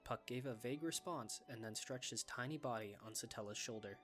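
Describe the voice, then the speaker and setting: A man's voice: mid-range voice, a little fast, a bit bright, faint music behind. A man reading scripted story narration as the narrator of a fan dub.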